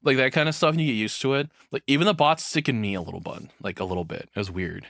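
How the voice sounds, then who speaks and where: A man's voice: medium pitch, steady tone, fast talker, vocal fry, a bit bright, no room sound, studio quality. A man doing casual live reaction commentary in a rambling, frank, crudely humorous way. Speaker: reaction streamer.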